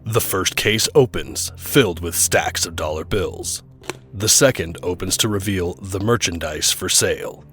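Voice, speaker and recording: A man's voice: low-pitched, measured pace, fairly bright tone, faint music behind. A man reading scripted dramatic narration for an audio drama.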